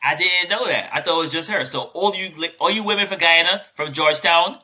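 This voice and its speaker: Man, podcast co-host, playful teasing banter, very bright tone, a bit high. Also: brisk.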